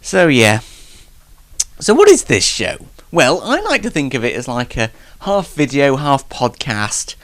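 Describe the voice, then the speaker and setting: A man's voice: mid-range voice, wildly swooping pitch, a little slow, fairly bright tone, no echo. A man hosting a casual solo late night talk show, rambling in a jokey, self-deprecating way, slightly stuffed up with hay fever.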